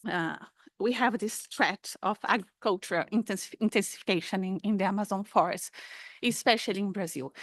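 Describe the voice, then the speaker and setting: This is a woman with a mid-pitched voice, recorded dry with little room around it. She is questioning a speaker at a conference in an argumentative, insistent way. She talks off the cuff and repeats herself to press her point. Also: a bit bright, no echo. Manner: challenging, insistent, repeats words for emphasis.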